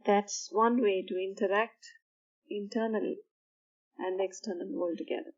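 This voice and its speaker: A woman guiding a sleep meditation in a soothing, measured manner, pausing between short phrases. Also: mid-range voice, steady tone, highs cut off. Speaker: meditation guide. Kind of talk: guided meditation for sleep, slow instruction.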